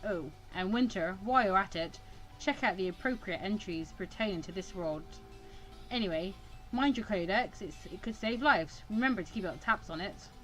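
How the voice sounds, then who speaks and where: A woman's voice: mid-range voice, animated, normal speed, fairly bright tone, soft background music, natural voice, low-quality recording. Senior male mentor played by a woman, visual novel dialogue, dry, instructive, matter-of-fact.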